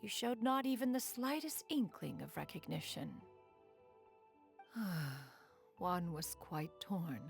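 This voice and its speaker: An ancient, proud crane immortal from a fantasy game. She speaks with stately, old-fashioned formality, stern but fond, in a mid-pitched voice with a creaky edge. Soft music plays behind her. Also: sing-song, takes it slowly, pauses often, full character voice. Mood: solemn, recounting. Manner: formal, archaic, refers to self as "one". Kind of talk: scripted game dialogue.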